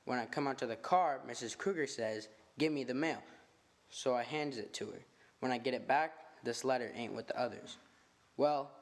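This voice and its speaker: A man's voice: medium pitch, natural voice. A man playing a greedy, tough-talking chauffeur and bodyguard in an old-time radio drama, speaking in slangy, drawling, ungrammatical street talk.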